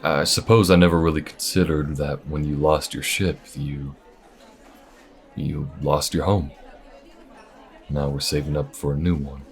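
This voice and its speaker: Man acting as a very deep-voiced folksy space bounty hunter. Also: fairly even intonation, a faint crowd. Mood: relaxed, sincere. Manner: folksy, unhurried, reassuring. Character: folksy, loyal male space bounty hunter, steady and protective. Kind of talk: intimate audio roleplay acting.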